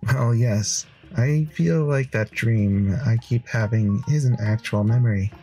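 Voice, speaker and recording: A man's voice: mid-range voice, unhurried, mellow, soft background music, lightly acted. A man reading an earnest, dreamy wandering hero. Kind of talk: visual novel narration and dialogue.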